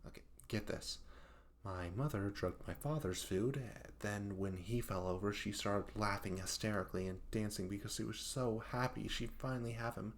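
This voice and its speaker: A man's voice: on the low side, moderate pace, slight background noise, natural voice. A man voicing a hapless, sweet but clingy young yandere student in a comedic audio roleplay, flustered, stammering and pleading. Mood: sheepish confiding.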